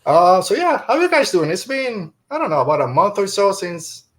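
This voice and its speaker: A man's voice: sits high, very animated, normal speed, fairly bright tone. A man hosting a podcast in a casual, rambling, joking way. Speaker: podcast host.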